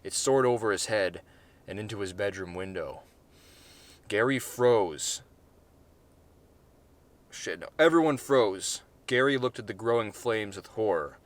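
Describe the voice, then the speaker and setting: A man's voice: fairly low pitch, animated, measured pace, pauses often. Brief: male author reading his short story, casual and unpolished. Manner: casual, with profanity and self-corrections.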